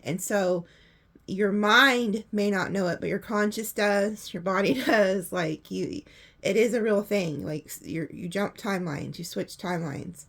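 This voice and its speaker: A woman with a hoarse voice, chatting casually through a tarot reading and laughing often. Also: medium pitch, unhurried, fairly bright tone. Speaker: tarot reader.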